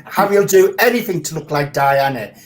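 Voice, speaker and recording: A woman's voice: sits low, expressive, normal speed, a little hiss or hum. Joking woman. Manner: joking, teasing. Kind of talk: casual live-stream chat.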